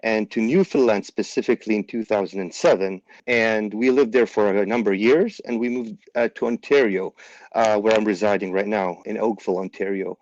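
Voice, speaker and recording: A man's voice: on the low side, a little fast, a little mellow, no room sound, highs cut off. A man in his forties from Iraq, a pro bodybuilder guest in a podcast interview, talking animatedly with lots of fillers and restarts. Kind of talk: casual interview talk.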